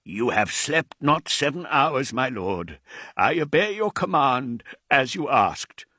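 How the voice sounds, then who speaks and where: A man's voice: medium pitch, big pitch swings, slow, bright, forceful tone, dry recording, studio quality, pitched above the performer's own voice, heavily acted. A man voicing an aged, devoted male servant who speaks with deference in an audiobook. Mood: deferential. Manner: pleading, deferential.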